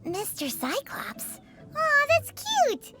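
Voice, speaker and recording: A tiny, cheeky fairy companion from a video game. The voice is extremely high and squeaky, bouncing widely in pitch with cute, exaggerated delivery. Loud music plays behind it. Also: female voice, wildly swooping pitch, slow, full character voice. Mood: surprised. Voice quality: squeaky, childlike. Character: small floating fairy companion, girlish, cheeky, bubbly. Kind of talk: video game character acting.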